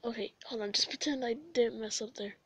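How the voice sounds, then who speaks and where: A woman's voice: fairly high pitch, fairly quick, fairly bright tone, breathy edge, no room sound. A woman who dubs comics as an amateur, talking casually to her viewers. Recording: dull, dry amateur recording.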